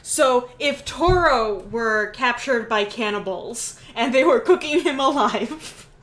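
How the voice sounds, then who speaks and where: A woman with a fairly high voice runs a tabletop game on a livestream. She talks at a very leisurely pace. Her manner is casual and playful, with jokes and ready laughter. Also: expressive, takes it slowly, a bit bright. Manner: playful, joking, laughs readily. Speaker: game master and stream host.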